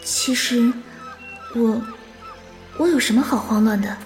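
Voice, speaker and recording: An actress playing a gentle, easily flustered young female disciple in a Chinese wuxia audio drama, with a soft, coaxing manner. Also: fairly high pitch, a little slow, soft background music, lightly acted. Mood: bashful, confused.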